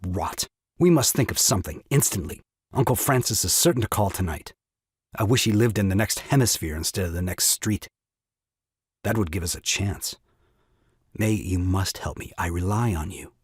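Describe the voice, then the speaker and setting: A man's voice: deep voice, normal speed, breathy edge, no echo, clean studio sound. An actor playing an indignant young father and lawyer in a stage farce, with pompous, emphatic, rhetorical speech. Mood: scheming urgency. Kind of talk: stage farce audio drama acting.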